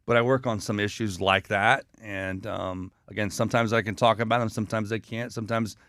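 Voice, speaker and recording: Guy guest on a talk show, talks really quick, kinda low voice, earnest, tells little stories. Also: fairly even intonation, fairly bright tone, no room sound, studio quality. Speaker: guest, communications consultant. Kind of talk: casual interview chat.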